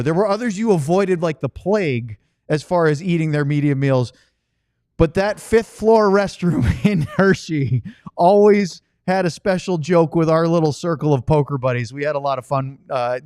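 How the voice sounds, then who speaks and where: A man's voice: on the high side, darkish tone, clear, resonant tone, dry recording, clean studio sound. A middle-aged man hosting a casual sports podcast, chatty and full of anecdotes. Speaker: podcast host and sports broadcaster.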